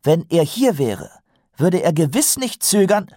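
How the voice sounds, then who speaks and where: Guy doing an eager innocent young recruit, pitched up, swings around a lot, earnest audiobook character. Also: big pitch swings, measured pace, warm tone, dry recording, studio quality, heavily acted. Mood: eager, dutiful.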